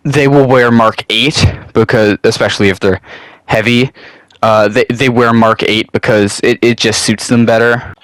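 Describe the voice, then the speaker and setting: A man's voice: medium pitch, steady tone, normal speed, a bit bright, dry recording, poor audio. A young man with a cold, sniffling a little, hosting his channel and talking casually through a loosely scripted hobby topic, rambling and swearing now and then. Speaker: channel host.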